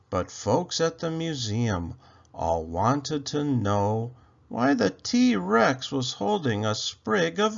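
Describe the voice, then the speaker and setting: A man reading a rhyming children's story aloud in an expressive, theatrical way with drawn-out phrasing. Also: medium pitch, creaky, dull audio.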